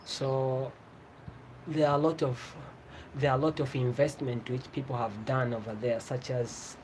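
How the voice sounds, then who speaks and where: A travel vlogger from Tanzania, a native Swahili speaker with a Tanzanian accent, explaining informally and often rephrasing in a halting way. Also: mid-range voice. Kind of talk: informal explanatory vlog talk. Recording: clear background ambient noise.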